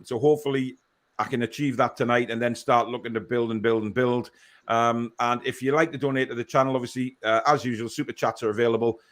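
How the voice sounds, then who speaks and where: A man's voice: medium pitch, fairly bright tone. A man hosting a live football fan show, chatting casually and reading out viewer comments.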